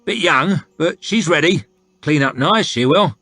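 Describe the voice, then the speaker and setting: A man voicing a coarse, bullying soldier who haggles loudly over a price. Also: expressive, a little slow, no echo, exaggerated voice. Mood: haggling. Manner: loud, high and bullying. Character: coarse loud male slave-driving soldier, crude and haggling.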